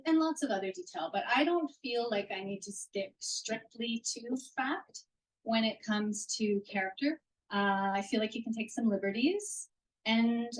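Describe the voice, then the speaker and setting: A woman's voice: a little high, low-quality recording. A woman author answering questions at a live bookstore reading, rambling with restarts, speaking into a handheld mic. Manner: rambling, warm, with restarts and fillers. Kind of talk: casual live Q&A at a book reading. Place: bookstore event room. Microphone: handheld microphone.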